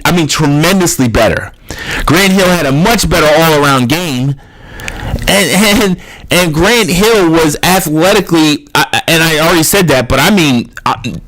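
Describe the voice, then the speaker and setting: A man's voice: a little high, fairly bright tone, poor audio. A man in his thirties giving opinionated solo commentary as a basketball draft analyst, ranting with exasperation and cursing. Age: 30s.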